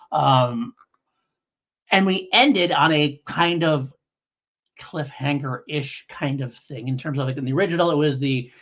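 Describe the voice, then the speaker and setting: Husky man chatting casually on a podcast. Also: mid-range voice, a bit bright. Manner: rambling, hedging, conversational. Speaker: podcast co-host. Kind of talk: casual podcast review chat. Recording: dull, lo-fi podcast audio.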